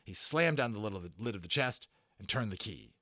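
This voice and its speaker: Male audiobook narrator, dramatic children's storytelling, highly animated delivery, dry recording. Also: brisk, dry recording.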